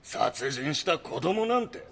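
Brusque, swooping magazine chief editor. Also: male voice, a little high, big pitch swings, takes it slowly, a bit bright, slightly rough, full character voice. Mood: pushy, callous. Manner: blunt, brusque, dismissive toward his junior. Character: pushy, callous male magazine chief editor, gloating over scoops. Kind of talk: audio drama acting.

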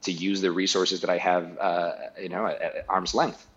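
A man's voice: deep voice, flat delivery, moderate pace, a bit bright, lo-fi sound. A middle-aged man from Guatemala, a guest talking casually in an interview, often restarting and repeating words.

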